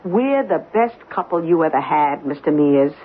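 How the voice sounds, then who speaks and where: An actress playing a hopeful working-class wife who coaxes earnestly in a 1970s radio drama. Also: female voice, low voice, lively intonation, moderate pace. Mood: coaxing, earnest. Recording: phone-like, noisy 1970s radio broadcast recording.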